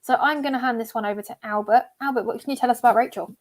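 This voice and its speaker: A woman's voice: on the high side, fairly even intonation, very fast. A woman co-hosting a casual stream chat, upbeat as she leads the segment and asks questions. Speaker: co-host.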